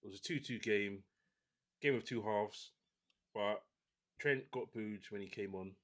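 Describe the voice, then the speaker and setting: A man hosting a casual football podcast, talking in a relaxed, opinionated way with many restarts. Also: on the low side, unhurried. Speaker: podcast host.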